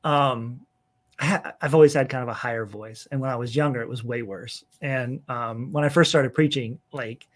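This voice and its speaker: A man's voice: mid-range voice, fairly even intonation, moderate pace. A middle-aged man chatting as a guest on a podcast, in a plain mid-range voice. He rambles through lists with lots of "um" and "you know," and laughs easily. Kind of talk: casual interview conversation.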